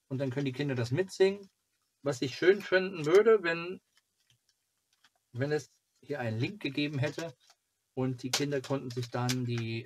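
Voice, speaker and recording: A man in his forties hosting a casual fan club video, talking haltingly and musing to himself as he reviews merchandise. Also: mid-range voice, a little hiss or hum. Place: small cluttered home room, indoors.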